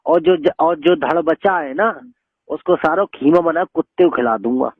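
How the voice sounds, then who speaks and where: A man's voice: a little high, normal speed, no echo. A man argues heatedly on the phone, scolding and threatening in colloquial speech. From Bareilly area, Uttar Pradesh, India. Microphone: telephone. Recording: muffled lo-fi phone call recording.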